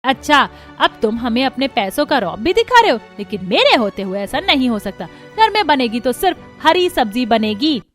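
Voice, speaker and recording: A woman's voice: sits high, big pitch swings, normal speed, hardly any pauses, fairly bright tone, soft background music. A woman playing a strict, thrifty mother-in-law who scolds her family in a cartoon. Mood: scolding. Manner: scolding, bossy. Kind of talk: cartoon voice acting.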